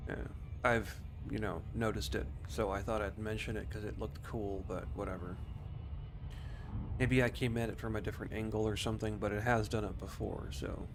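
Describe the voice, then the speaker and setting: Man, lowish pitch, casual game streamer, rambling explainer, noisy home recording. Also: steady tone, normal speed. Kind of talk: casual live gameplay commentary.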